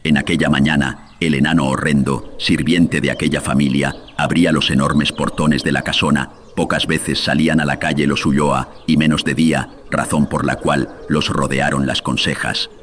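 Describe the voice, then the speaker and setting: A man's voice: level tone, normal speed, background noise. A man narrating scripted horror tales as host, solemn, ominous and theatrical, with a processed voice. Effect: deepening voice filter.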